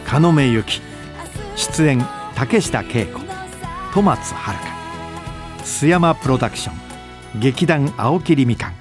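A man reading scripted credits and notices as a radio announcer. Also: fairly high pitch. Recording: studio broadcast over music.

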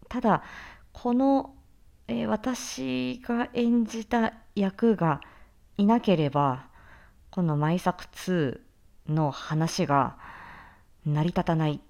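A woman voice actor giving a reflective promotional talk in an earnest, halting, deliberate way. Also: fairly low pitch, gravelly, a little mellow, dull audio. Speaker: voice actor introducing a production.

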